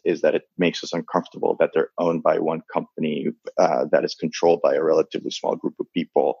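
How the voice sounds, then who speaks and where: A man's voice: rumbling low, vocal fry, darkish tone, breathy edge, dry recording, lo-fi sound. A man from the Dominican Republic whose first language is Spanish, speaking as an interview guest with frequent restarts and fillers. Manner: halting, many restarts and fillers. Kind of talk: thoughtful interview talk.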